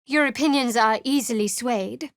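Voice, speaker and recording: Woman voicing young female dark cleric, video game, slightly high pitch, sardonic, guarded, measured, close dry recording. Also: no echo, close to an everyday voice. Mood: cool, serious. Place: studio.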